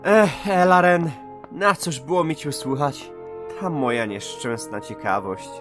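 Man playing high-voiced earnest young elf paladin. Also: a little slow, a bit bright, soft background music. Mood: downcast, puzzled. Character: young, earnest elven paladin novice, humble and curious. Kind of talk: fantasy audio drama acting.

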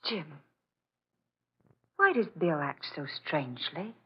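A devoted, nervy wife with a breathy, husky voice that swoops expressively. It is dramatic forties radio acting. The sound is dulled like an old broadcast. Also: female voice, low-pitched, wildly swooping pitch, slow pace, heavily acted. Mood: puzzled, worried. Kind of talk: 1940s radio horror acting. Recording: muffled old radio recording.

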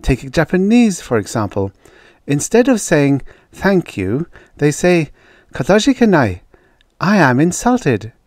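A man voicing a quick-tempered woman academic who argues and lectures with emphatic conviction. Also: a little high, very animated, a little mellow, no echo, studio quality, voice pushed up. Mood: earnest lecturing.

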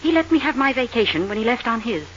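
A woman's voice: a little high, sing-song, fast talker, fairly bright tone. An actress playing a worried, earnest female office secretary in a 1940s radio drama. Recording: dull, hissy 1940s radio broadcast.

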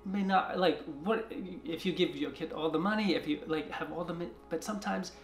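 A man in his forties from China, talking in a soft, halting way with many restarts during a seated interview in an art studio. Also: fairly high pitch. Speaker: artist. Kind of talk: reflective interview talk. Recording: roomy studio sound with music behind.

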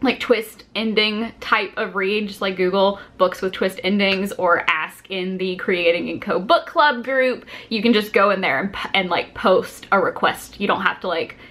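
A woman's voice: medium pitch, moderate pace, few pauses, a bit bright. A woman in her twenties hosting a casual, chatty explainer to camera, speaking informally with plenty of filler words like "like". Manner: informal, filler-heavy with frequent "like". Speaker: video host presenting a reading challenge. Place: small furnished home room, indoors.

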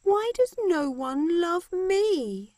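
A woman voicing an earnest, lovelorn young woman in a children's cartoon, speaking slowly and expressively. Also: very high-pitched, no echo, clean studio sound, exaggerated voice. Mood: lovelorn, tender. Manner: slow, simple, expressive delivery. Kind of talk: children's cartoon story acting.

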